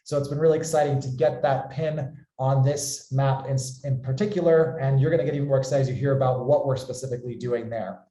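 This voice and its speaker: A man's voice: medium pitch, fairly even intonation, fast talker, few pauses, warm tone. An upbeat American man speaking as a company founder, introducing and hosting an online webinar with enthusiasm. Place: indoor room, online webinar call. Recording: roomy, echoey sound.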